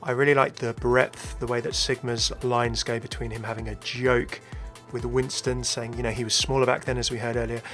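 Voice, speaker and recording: A man's voice: medium pitch, flat delivery, fairly quick, fairly bright tone, faint music behind. A man presenting explanatory video game commentary as a voice-over. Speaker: video host.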